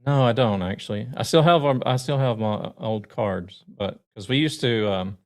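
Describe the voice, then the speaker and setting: A middle-aged man from the United States chatting casually as a stream guest, relaxed and hesitant, with frequent ums. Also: mid-range voice, moderate pace. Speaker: guest streamer and truck driver. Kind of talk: casual chat.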